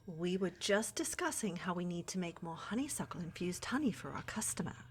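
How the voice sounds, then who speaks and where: Woman acting a flirty, scheming witch, very lively. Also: lowish voice, fairly quick, slight background noise, lightly acted. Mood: smug, sinister. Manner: teasing, coaxing, sugary endearments with theatrical menace. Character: flirty, scheming witch who runs a potion shop. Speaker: voice actor in audio roleplay. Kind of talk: cinematic ASMR fantasy roleplay.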